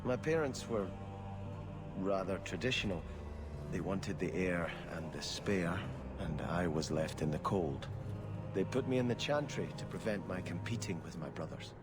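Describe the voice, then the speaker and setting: An actor playing a devout, conflicted young exiled prince in a video game, with a formal, confessional manner. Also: male voice, low voice, moderate pace, music in the background, lightly acted. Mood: earnest, reflective. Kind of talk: video game cutscene acting.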